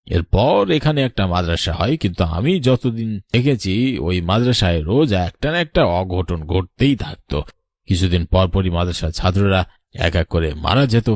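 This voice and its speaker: A man voicing a grave, knowing older village man in a horror cartoon, solemn and measured like a storyteller. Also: low voice, lively intonation, a little mellow, no room sound, clean studio sound.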